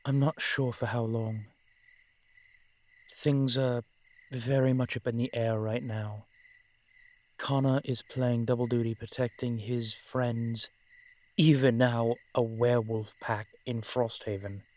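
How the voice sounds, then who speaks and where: A man playing a brooding, protective vampire in an audio roleplay, speaking in formal, measured phrases with dramatic pauses. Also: medium pitch, steady tone, darkish tone, close to an everyday voice. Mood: grave, worried. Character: brooding, protective male vampire, old-fashioned and frustrated. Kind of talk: scripted audio roleplay acting addressed to the listener.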